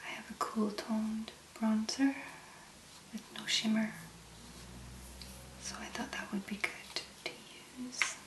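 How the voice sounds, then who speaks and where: A woman speaking in a hushed, gentle whisper with long pauses, as an ASMR host. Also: a little high, level tone. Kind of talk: soft-spoken whispered ASMR. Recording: roomy echo, clear background noise.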